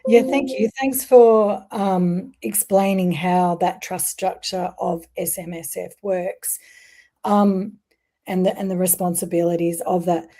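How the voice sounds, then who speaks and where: Woman host asking questions, mellow warm voice, kinda loose with ums. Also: lowish voice, steady tone, a little slow. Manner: friendly, loosely phrased questions with fillers. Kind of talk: interview hosting.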